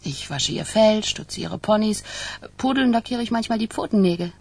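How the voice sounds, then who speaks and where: An actress playing a friendly, self-deprecating young girlfriend in a radio crime drama, candid, with a raspy, creaky voice. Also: mid-range voice, lively intonation, moderate pace, darkish tone. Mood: chatty, amused. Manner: candid, unpretentious.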